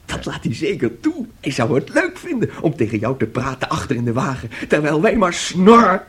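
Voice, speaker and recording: An actor playing a naive, eager, childlike son who speaks simply and trustingly in a radio play. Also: male voice, on the high side, huge pitch swings, normal speed, fairly bright tone, breathy edge, heavily acted.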